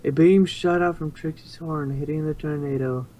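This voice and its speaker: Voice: mid-range voice, fairly even intonation, normal speed, dark tone, rough recording. A narrator reading a story aloud in an amateur fan fiction reading.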